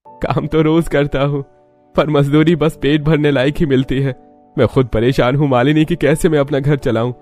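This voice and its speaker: An anxious, worn-down young labourer and husband, acted by a man in a cartoon story. The voice is pushed up from a low register, with faint music behind. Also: fairly even intonation, normal speed, a little mellow, natural voice. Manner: anxious, weary. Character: troubled, poor young husband, a daily-wage labourer. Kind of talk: Hindi cartoon story dialogue.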